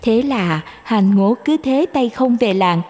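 A woman reading scripted narration for an animated fairy tale. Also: fairly high pitch, takes it slowly.

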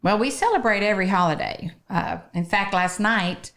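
A woman's voice: medium pitch, normal speed, fairly bright tone. A middle-aged woman, answering interview questions conversationally as a guest from a children's shelter. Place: small podcast studio, indoors. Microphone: close studio microphone on boom arm.

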